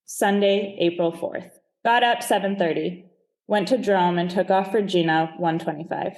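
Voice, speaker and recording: Low-voiced woman reading a diary. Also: fairly even intonation, moderate pace, a little mellow. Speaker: diary reader.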